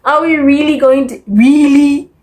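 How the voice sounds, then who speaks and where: A woman reviewing books in a casual, chatty way, expressive and emphatic, often repeating words to stress them. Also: high-pitched, unhurried. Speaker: book reviewer. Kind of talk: casual chatty monthly reading wrap-up.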